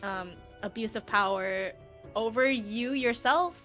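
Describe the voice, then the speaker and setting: A woman's voice: a little high, slow, a bit bright, faint music behind. A woman hosting a casual live stream as a tarot reader, giggly and informal in manner.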